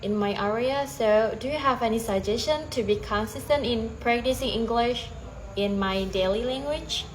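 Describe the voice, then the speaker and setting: A young woman with an Indonesian accent, a native Bahasa Indonesia speaker, practicing English slowly and hesitantly, with frequent restarts. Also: fairly high pitch, slight background noise, rough recording. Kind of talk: casual conversation practice.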